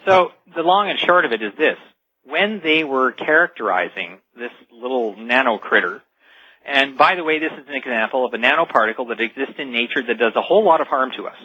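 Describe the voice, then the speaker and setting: A man's voice: medium pitch, lively intonation, fairly quick, slightly rough, no room sound. A man speaking as a guest expert in a radio interview, explaining in an emphatic storytelling way with rhetorical build-ups, over dull lo-fi sound.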